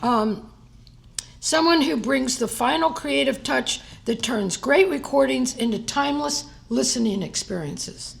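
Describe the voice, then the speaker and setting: A woman giving an award presentation at a gala, hoarse and losing her voice, often clearing her throat. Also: sits high, unhurried. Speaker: society vice president presenting an award. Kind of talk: award ceremony speech.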